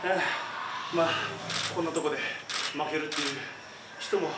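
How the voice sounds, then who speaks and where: A man in his thirties, a pro wrestler, calmly reflecting on a loss in post-match comments, full of fillers. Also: fairly high pitch, moderate pace. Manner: calm, reflective, loose with fillers. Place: backstage press area in front of a sponsor backdrop. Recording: echoey room, noisy, far from mic.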